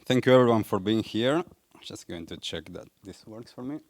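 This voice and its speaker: A young man with an Argentine accent speaks to a live audience in a low voice, earnest and questioning. The sound is close and dry, with little room echo. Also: lively intonation, moderate pace, darkish tone. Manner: earnest, asks the audience questions, light laughs. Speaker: wellness coach and speaker. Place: event venue in front of a live audience.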